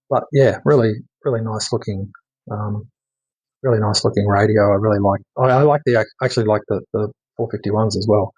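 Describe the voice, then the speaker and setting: A man giving a casual, unscripted hands-on demonstration as a hobbyist, halting and often trailing off mid-thought, with a husky voice. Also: fairly low pitch, darkish tone, low-quality recording. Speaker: radio hobbyist showing his modified radio.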